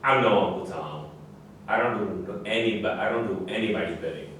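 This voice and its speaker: A man's voice: fairly low pitch, fairly quick, a bit bright, natural voice. Man acting a proud, defensive athlete, echoey and distant. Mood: indignant. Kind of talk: staged play reading. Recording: very echoey room, distant mic, faint noise.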